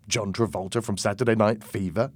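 A man's voice: fairly low pitch, steady tone, brisk, dry recording, lightly acted. A man voicing a nervous young job seeker. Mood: eager.

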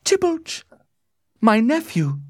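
A man acts out a noblewoman in a storybook tragedy, pitched very high and shrill. The character is grieving and vengeful, wailing and insisting on justice. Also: big pitch swings, very slow, dry recording, studio quality, heavily acted.

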